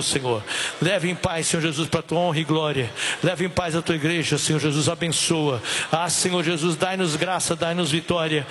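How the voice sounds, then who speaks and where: A hoarse, high-pitched pastor preaching in a church hall. He repeats his phrases with fervor, calling out to the congregation and rising to shouts at the peaks. His worn voice strains but keeps pushing through. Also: slight background noise. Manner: fervent, repetitive call-and-response, shouting at peaks. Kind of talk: church service preaching and prayer.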